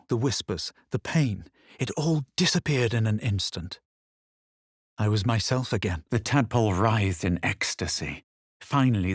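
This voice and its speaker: A man playing a haughty, self-assured fantasy adventurer in a video game, speaking in a measured, superior way. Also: fairly low pitch, breathy edge, no room sound, clean studio sound, exaggerated voice. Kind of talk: video game character voice lines.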